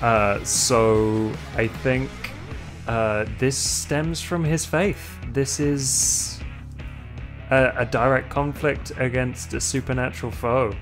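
A man playing a tabletop game, talking casually in a halting, deliberate way. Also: mid-range voice. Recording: clear guitar music behind voice. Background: guitar music.

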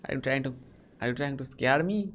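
A man's voice: medium pitch, expressive, fairly quick, fairly bright tone. Young man, Indian English accent, curious questioning, casual video chat, webcam mic, small bedroom. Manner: inquisitive, asks many short questions.

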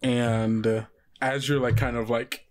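Informal male game master, medium pitch. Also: flat delivery. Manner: informal, halting, frequent "like". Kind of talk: tabletop role-play narration and casual rules chat.

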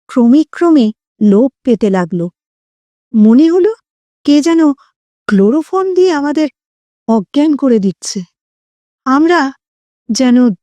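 A woman's voice: high voice, a little mellow, no echo. A woman narrating a scripted horror story in a theatrical, suspenseful way, drawing out words for effect.

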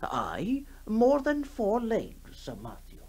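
A man voicing a timid, wondering country vicar in a radio ghost story, speaking haltingly. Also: high-pitched, huge pitch swings, creaky, over music, pitched above the performer's own voice, full character voice. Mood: awed, uneasy.

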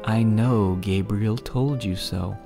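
A man voicing a calm, patient grown man, speaking softly and in a measured way in an audiobook. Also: fairly low pitch, creaky, soft background music, voice pushed down. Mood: tender. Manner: soft, measured, slow.

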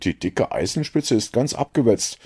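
Man reading as storybook companion, eager, self-satisfied, earnest, raised voice pitch, animated. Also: normal speed, slightly rough. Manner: earnest, a little smug.

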